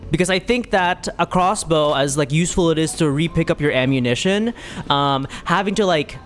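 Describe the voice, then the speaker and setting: A man's voice: fairly high pitch, normal speed. A man giving casual, playful commentary as he plays a video game, rambling and reacting as he goes. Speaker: streamer playing a video game.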